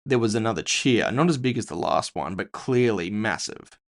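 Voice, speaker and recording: A man's voice: fairly low pitch, lively intonation, moderate pace. A man narrating scripted first-person audio fiction in a dramatic storytelling manner.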